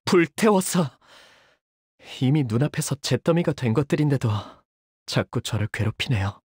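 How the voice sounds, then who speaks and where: Young male game character, polite, soft-spoken, dramatic, widely swinging pitch, dry close sound. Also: lively intonation, moderate pace, slightly rough, dry recording. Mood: bitter, fanatical. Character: earnest, anxious young man, a devoted shielding fighter; tormented young male zealot who purifies with fire. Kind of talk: video game character voice lines.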